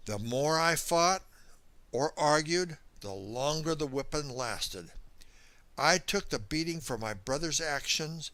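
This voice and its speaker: A man's voice: a little high, expressive, takes it slowly, fairly bright tone, no echo. A man narrating a scripted first-person story in a folksy, dramatic storytelling manner. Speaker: audiobook narrator.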